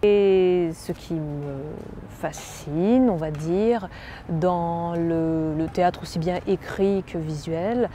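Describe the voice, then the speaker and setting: A young woman from France, an actress, speaking in a slightly low, mellow voice. She muses aloud, halting often and circling back over her words. Faint background noise sits under her voice. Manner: musing, halting, many fillers and restarts. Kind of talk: self-presentation interview.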